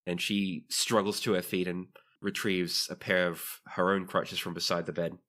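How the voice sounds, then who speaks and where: A man's voice: low voice, flat delivery, normal speed, pushed and bright. A man improvising narration as game master of a tabletop roleplaying game.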